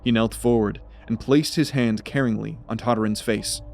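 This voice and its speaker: A man's voice: medium pitch, steady tone, moderate pace, gravelly, darkish tone, soft background music. A man narrating a fantasy audio drama as a scripted, dramatic story.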